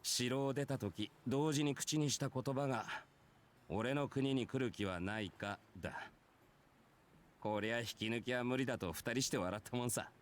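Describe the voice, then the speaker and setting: An actor playing a brash, easygoing male warrior in a visual novel, telling his past in rough, casual, nonchalant speech. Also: medium pitch, fast, close to an everyday voice. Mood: nonchalant, wistful. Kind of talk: Japanese visual novel voice acting.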